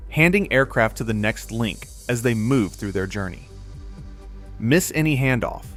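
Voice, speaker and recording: Man narrating a measured explainer. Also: medium pitch. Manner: measured, even explanatory delivery. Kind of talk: scripted narration. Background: faint background music.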